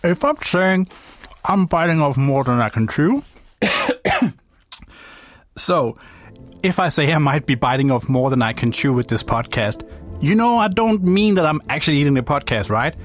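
A young man from Denmark with a Danish accent, hosting a podcast in reflective, gently playful scripted narration. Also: on the high side, lively intonation, a little slow, pauses often, faint music behind. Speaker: podcast host.

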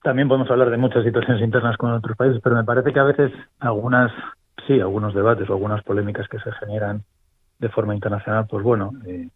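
A man from Spain with a Spanish accent, a guest talking politics over a call, pausing and restarting as he thinks. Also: fairly low pitch, fairly even intonation, husky, no room sound. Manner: thoughtful, many restarts and fillers. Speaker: guest commentator. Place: remote location abroad. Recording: tinny phone line, dry.

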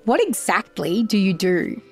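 A woman's voice: big pitch swings, measured pace, faint music behind. A woman hosting a podcast, introducing her guest and asking curious questions that lift toward the end. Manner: bright, curious, rises in pitch when asking questions. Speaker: podcast host. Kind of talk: podcast interviewing.

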